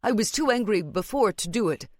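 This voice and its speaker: A woman reader plays a stern matriarch scolding her family in a story. The voice is cool, deliberate and quietly threatening, lifted slightly above her narration and recorded very dry. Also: mid-range voice, dry recording, voice pushed up, lightly acted. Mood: cold reproach. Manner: cool, calm, measured, menacing. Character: stern, coolly angry Indian mother-in-law, family matriarch.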